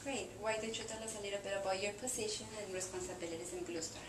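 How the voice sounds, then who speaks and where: A woman's voice: medium pitch, fairly even intonation, fast. A woman interviewing a business guest, asking polite, brief, prepared questions. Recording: distant, echoey room with hiss.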